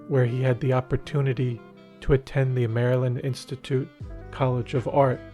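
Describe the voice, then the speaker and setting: A man hosting a library podcast, reading a smooth, measured introduction and then asking questions. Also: mid-range voice, a little mellow. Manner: smooth and measured when reading, brief murmured backchannels. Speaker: podcast host. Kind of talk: scripted intro, then casual interview.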